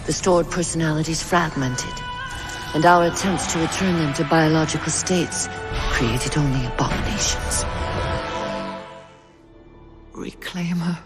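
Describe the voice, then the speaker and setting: A woman playing an ancient female alien spirit, solemn, measured and oracular, in a cinematic video game. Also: sits low. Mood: hushed, solemn. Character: ancient solemn female Forerunner spirit guiding a chosen warrior. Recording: music bed behind the voice.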